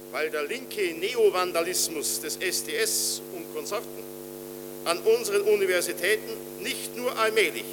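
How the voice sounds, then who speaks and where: A man's voice: sits high, in a large hall, noisy background. A man giving a formal, emphatic and polemical political speech. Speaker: political speaker.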